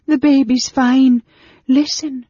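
A man's voice: extremely high pitch, level tone, takes it slowly, warm tone, husky, no room sound, muffled recording, pitched above the performer's own voice, heavily acted. A man voicing a distraught young servant girl in a fantasy audiobook, tearful and gasping. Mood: sobbing, distraught.

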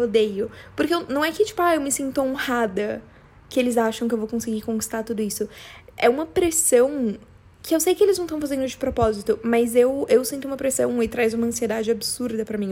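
A woman's voice: a little high, warm tone, breathy edge. A young woman with a strong São Paulo Brazilian accent, chatting casually and confessionally in a rambling, slangy, emphatic way. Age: young adult. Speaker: podcast host.

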